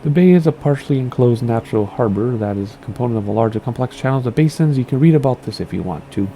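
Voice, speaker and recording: A man chats through a flight sim game and reads place notes aloud, voice low-toned, dark and gravelly and clearly wearing out. He rambles playfully and fumbles some names. A faint hum sits under his voice. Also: expressive, quick pace. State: losing his voice. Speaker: gaming streamer. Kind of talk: casual flight sim commentary, reading aloud.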